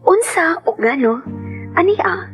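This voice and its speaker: A woman's voice: very high-pitched, lively intonation, slow, full character voice. A woman playing a troubled, confused wife who narrates her story in a radio drama, with a Visayan Filipino accent. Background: music.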